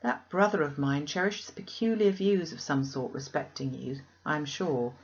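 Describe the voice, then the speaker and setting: A woman voicing an outspoken, affectionate young gentlewoman, emphatic and questioning, in a classic novel. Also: sits low, moderate pace, highs cut off. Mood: concerned, probing.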